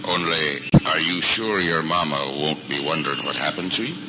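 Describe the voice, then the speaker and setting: An actor playing a good-natured, slangy, folksy cab driver in an old-time radio comedy. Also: male voice, rumbling low, moderate pace. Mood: amused, sympathetic. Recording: muffled, very noisy old radio recording.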